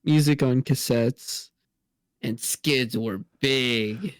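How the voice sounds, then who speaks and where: A man's voice: medium pitch, drawn-out words, clear, resonant tone, dry recording. A teenage young man co-hosting a podcast, giving a casual recap commentary. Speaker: podcast co-host.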